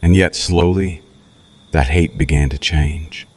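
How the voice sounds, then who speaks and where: A man playing a seductive, menacing vampire in an ASMR roleplay, speaking slowly and intimately with a possessive, darkly romantic air. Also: fairly even intonation. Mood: brooding, haunted. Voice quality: very deep, husky, breathy. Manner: slow, intimate, softly menacing, teasing.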